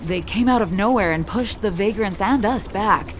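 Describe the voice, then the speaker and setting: A woman's voice: mid-range voice, moderate pace, a little hiss or hum, close to an everyday voice. A woman playing a shaken, earnest miner in a video game. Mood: troubled. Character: shaken, earnest female miner giving a report. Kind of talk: video game character acting.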